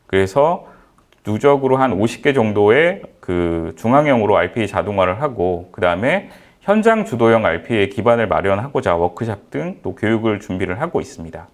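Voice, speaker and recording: A man giving a business presentation as a conference speaker, with a halting delivery that often corrects itself. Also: fairly low pitch. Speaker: conference presenter, utility company manager.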